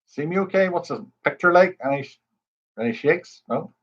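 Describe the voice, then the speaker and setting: Chatty quiz host. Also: male voice, a little high, expressive, moderate pace, a bit bright. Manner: chatty, greets viewers by name. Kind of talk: casual livestream chat. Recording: dull lo-fi stream audio.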